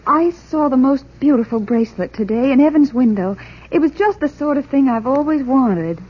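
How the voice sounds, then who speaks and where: A woman acting a yearning housewife in an old radio drama, intense and theatrical, with deliberate dramatic pauses, on an old recording. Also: sits high, expressive, lo-fi sound. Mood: pleading, desperate. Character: yearning, long-deprived housewife fixed on a bracelet. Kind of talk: 1940s radio acting, dramatic first-person narration.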